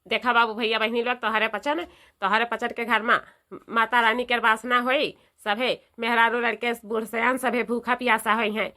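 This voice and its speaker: Cartoon village mother-in-law, woman performer: older, devout, chatty, fairly high bright voice, eastern UP accent. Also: flat delivery, moderate pace. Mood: proud, devout. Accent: eastern Uttar Pradesh. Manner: emphatic, repeats phrases, folksy lecturing. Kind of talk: comedy cartoon dialogue.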